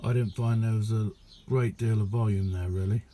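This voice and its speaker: Middle-aged man, soft dark tone, casual halting hobbyist demo, faint background noise. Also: on the low side, steady tone. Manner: unhurried, halting, colloquial. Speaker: radio hobbyist showing a homemade receiver. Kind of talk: casual hands-on demonstration vlog. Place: indoor hobby radio room.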